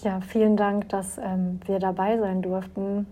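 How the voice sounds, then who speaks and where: A woman's voice: mid-range voice, level tone, measured pace, dark tone. A woman interviewer who speaks in a composed, matter-of-fact way. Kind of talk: conversational interview.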